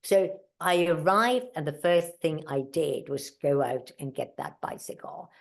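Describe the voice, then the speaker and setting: A woman's voice: deep voice, lively intonation, unhurried, creaky. A middle-aged woman whose first language is German, telling stories in a casual interview with many fillers and asides. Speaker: mountaineer and guest.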